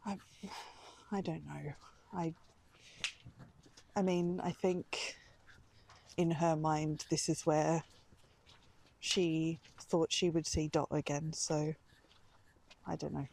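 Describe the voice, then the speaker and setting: A woman co-hosting a podcast on a road trip, chatting casually with halting, hesitant phrasing. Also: sits low, steady tone, a little mellow, exaggerated voice. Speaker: podcast co-host. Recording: clear vehicle and outdoor background noise.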